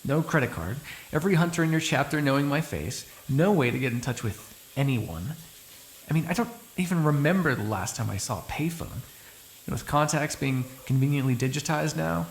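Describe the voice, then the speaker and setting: A man playing an ageless, cynical male vampire in an audio roleplay, with a gravelly, husky voice and a sardonic, exasperated manner. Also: mid-range voice, expressive, fairly quick, slight background noise, lightly acted, rough recording. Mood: exasperated, dry. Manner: sardonic, drawling, swearing, exasperated asides. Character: ageless male vampire, cynical, menacing, grudgingly fond captive. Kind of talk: ASMR roleplay, one-sided dramatic dialogue.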